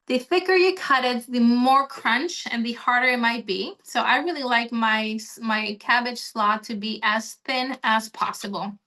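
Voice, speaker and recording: A woman from Honduras runs a live online cooking class, explaining each step in a friendly way as she works. Her voice sits a little high and rings bright and clear. It sounds like a computer microphone on a video call. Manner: friendly, explanatory, talks while chopping. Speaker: cooking demo instructor.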